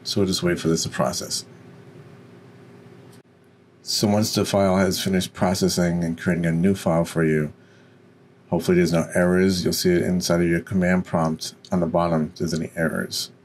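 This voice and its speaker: A man with a deep voice giving an unscripted, step-by-step software tutorial. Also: flat delivery, normal speed, slight background noise. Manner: instructional, step by step. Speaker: tutorial presenter.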